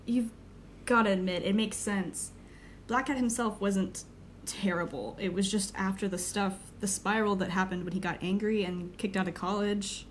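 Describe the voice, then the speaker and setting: Mid-pitched female voice, young heroine, acted by a woman, candid, wry, noticeable background noise. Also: fairly even intonation, moderate pace, close to an everyday voice. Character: frank, teasing young superheroine, loyal to friends. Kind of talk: audio drama acting.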